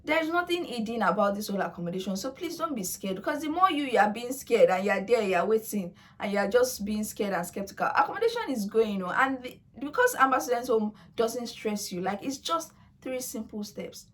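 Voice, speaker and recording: A woman's voice: fairly high pitch, very animated, brisk. A young woman whose first language is Yoruba, talking casually to her viewers in a chatty, coaxing way with playful asides. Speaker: vlogger. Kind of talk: casual talk to camera.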